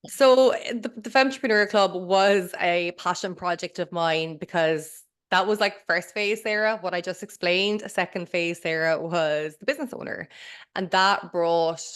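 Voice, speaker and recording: Chatty low-voiced Irish woman. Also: moderate pace, fairly bright tone, clear, resonant tone. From Ireland. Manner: chatty, rambling, swears and self-interrupts. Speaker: mindset coach, podcast guest. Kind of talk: casual interview chat.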